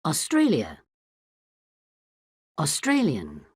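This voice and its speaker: Woman, low husky voice, very slow, sweeping pitch, dry close sound. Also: huge pitch swings, a little mellow, dry recording. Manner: careful, drawn-out pronunciation. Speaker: vocabulary reader for a language lesson. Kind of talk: reading word lists slowly.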